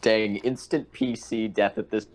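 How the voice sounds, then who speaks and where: A man's voice: on the low side, steady tone, a little slow, a loud crowd. A man chatting casually as a player in a tabletop roleplaying game. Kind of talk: casual chat. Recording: faint background noise.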